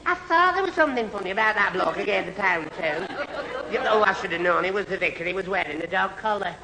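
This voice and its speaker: A man's voice: high-pitched, animated, brisk, over a noisy crowd. A man playing a daft, flustered young man, stammering and dithering in a 1970s radio sitcom. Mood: earnest. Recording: old radio recording, faint hiss.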